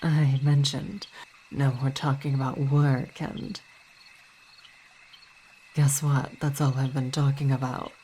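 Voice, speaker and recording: A woman voicing a weary, devoted vampire ruler and wife in an ASMR roleplay, speaking softly, often in a whisper, with halting, reflective phrasing and sighs. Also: a bass voice, little pitch movement, a little hiss or hum, rough recording. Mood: tender, wry.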